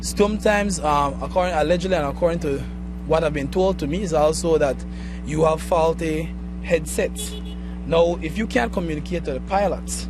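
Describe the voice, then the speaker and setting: Male spokesman, fairly high voice, measured interview delivery, music clearly heard behind. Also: rough recording. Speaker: association president, interviewee. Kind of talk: news interview statement.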